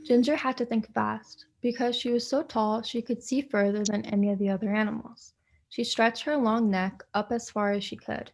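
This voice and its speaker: A woman volunteer reading a children's story aloud in a clear, steady way over a video call. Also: fairly high pitch, moderate pace, a little hiss or hum. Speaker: volunteer reader. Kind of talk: reading a picture book aloud.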